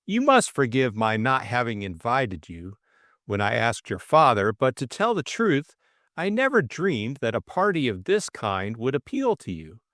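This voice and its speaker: A man voicing a pompous, effusive gentleman politician in an audiobook, with a formal and halting delivery. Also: medium pitch, huge pitch swings, no room sound, clean studio sound. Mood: effusive, flustered. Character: pompous, effusive cabinet-minister gentleman hosting a house party.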